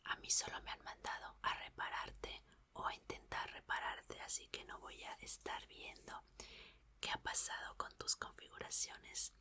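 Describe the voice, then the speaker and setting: A woman playing a reassuring, curious young female mechanic in an ASMR roleplay, speaking in a soft, breathy, soothing whisper. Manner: soft, unhurried, soothing murmur with repeated phrases.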